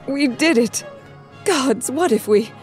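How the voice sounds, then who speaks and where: A woman's voice: high voice, animated, slow. A woman playing an anxious, loving mother in a horror audio drama, tender and earnest. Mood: excited, anxious. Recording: echoey hall with music behind.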